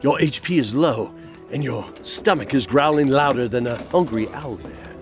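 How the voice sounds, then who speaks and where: A man's voice: medium pitch, moderate pace. A man reading a theatrical fantasy-themed advertisement as a dramatic, emphatic announcer. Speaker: advertisement voice-over. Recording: faint music bed.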